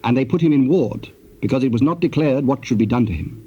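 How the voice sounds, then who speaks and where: Mellow male scripture narrator on muffled tape. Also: mid-range voice, expressive, breathy edge. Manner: formal, measured storytelling. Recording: muffled, faintly hissy tape.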